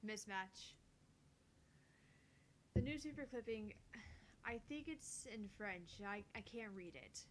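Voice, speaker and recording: Woman reading visual novel dialogue, faint rumble. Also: animated, takes it slowly, long pauses. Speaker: amateur voice reader of a visual novel. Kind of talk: reading game dialogue and scripted reports aloud.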